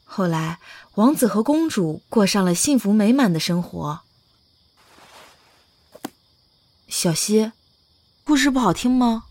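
An actress plays a young woman in a modern audio drama with a medium-pitched voice. She is cheeky and playful, with a coaxing, tender, devoted side. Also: expressive, normal speed, a little mellow. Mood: gentle, coaxing. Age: young adult.

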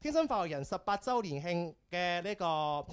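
A woman's voice: fairly low pitch, expressive, moderate pace, clean tone. A woman hosting a radio show in a conversational presenting style.

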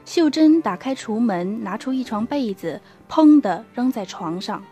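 A woman's voice: a little high, animated, a little slow, mellow, soft background music. A woman reading scripted narration for a radio drama as its storyteller.

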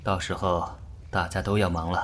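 An actor playing a calm, authoritative clan elder uncle who speaks in a measured, commanding way in a fan audio drama. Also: male voice, low voice, a little hiss or hum. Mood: stern.

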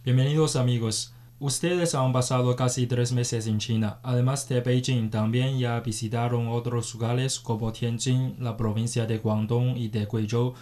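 A man hosting a radio interview in a studio, welcoming guests and asking questions in measured, formal phrasing. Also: medium pitch, fairly even intonation, mellow. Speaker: radio host.

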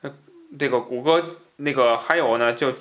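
A man's voice: mid-range voice, normal speed, fairly bright tone, highs cut off. A man hosting a book podcast, chatting in a colloquial way and given to chuckling at his own asides. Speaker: podcast host. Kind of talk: casual book talk show chat.

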